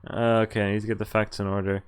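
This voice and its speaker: A man's voice: fairly low pitch, level tone, very fast, clear, resonant tone. A man streaming a game, reading its story text aloud with casual, amused, sweary commentary.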